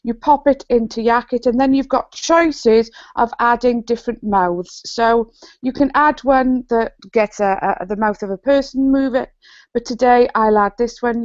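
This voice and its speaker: A woman's voice: a little high, unhurried, darkish tone, no room sound. A woman from South Wales with a Welsh accent, an author and trainer giving an enthusiastic app demo on a video call. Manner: bubbly and enthusiastic. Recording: dull lo-fi video call audio.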